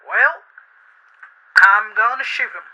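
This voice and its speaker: A man playing a smug, scheming brother in a 1950s radio drama, self-satisfied and unhurried. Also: sits high, sharp tone, full character voice. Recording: lo-fi old radio tape, music behind.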